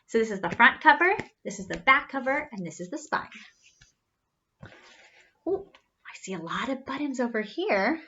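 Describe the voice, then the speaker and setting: Woman children's storyteller: high, very lively pitch swings, teacherly read-aloud delivery, singsong refrains, slightly muffled recording. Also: normal speed, pauses often, a little hiss or hum. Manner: animated, teacherly, spelling things out for young children. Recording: slightly dull, lo-fi sound.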